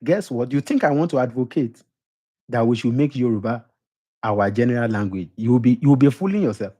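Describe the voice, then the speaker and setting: A man's voice: medium pitch, animated, brisk, dark tone, highs cut off. A middle-aged man with a Nigerian accent giving an emphatic motivational lecture, repeating his points and putting questions to the audience. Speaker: motivational speaker.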